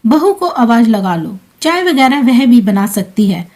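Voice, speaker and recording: A woman's voice: a little high, very animated, a little slow, darkish tone. A woman voicing a caring, protective elderly husband in a family story, concerned and admonishing in manner.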